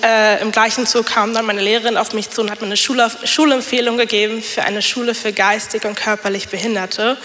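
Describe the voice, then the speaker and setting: A young woman with a bit of a cold speaks into a handheld mic in a church hall. Her voice is fairly high and very bright, and she talks in a chatty way, full of fillers. A long reverb trails behind her words. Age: young adult. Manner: chatty, many fillers, self-deprecating laughs. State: has a cold. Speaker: church member giving a testimony. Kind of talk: personal testimony in church. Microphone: handheld microphone.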